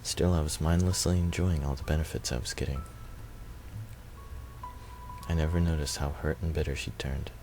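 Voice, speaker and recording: A man's voice: rumbling low, steady tone, moderate pace, pauses often, over music. A man reading first-person visual novel narration aloud.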